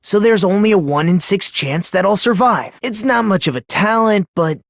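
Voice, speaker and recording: An actor voicing a frail-sounding, self-deprecating teenage boy obsessed with hope in a video game, soft and breathy, polite yet theatrical and unhinged. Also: medium pitch, expressive, moderate pace, no echo, clean studio sound. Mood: playful, whiny. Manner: polite, theatrical, swinging from gentle to fervent. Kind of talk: English video game voice acting.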